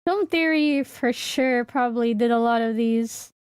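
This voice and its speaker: A woman hosting a casual livestream in a high voice. She talks slowly and hesitantly, thinking out loud as she goes. The sound is dry, with no room echo. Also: clean tone. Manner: hesitant, rambling, thinking aloud. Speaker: livestreamer and VTuber host.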